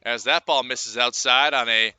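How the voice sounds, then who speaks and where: A man doing live baseball play-by-play as a radio announcer, describing the action in a measured way with pauses between calls. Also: medium pitch, steady tone, bright, forceful tone, clear, resonant tone. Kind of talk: live sports commentary.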